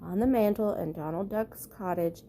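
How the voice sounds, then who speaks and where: Lady reading a kids bedtime story out loud, mid pitch, bit of background noise. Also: moderate pace. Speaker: storybook reader. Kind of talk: children's bedtime story read aloud.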